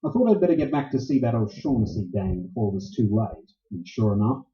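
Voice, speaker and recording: A man's voice: lowish voice, big pitch swings, fast, dark tone, echoey room, dull audio. A man playing a tough, cynical private detective in a radio play, with terse, blunt, wisecracking delivery. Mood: wry narration. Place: live radio play performance in a roomy space.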